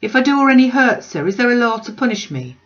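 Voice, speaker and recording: A woman's voice: on the high side, very animated, fast talker, highs cut off, pitched above the performer's own voice. A woman voicing an earnest, distressed working-class male weaver who speaks in broad dialect, in a storybook reading. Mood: pleading. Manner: earnest, broad Northern English dialect speech.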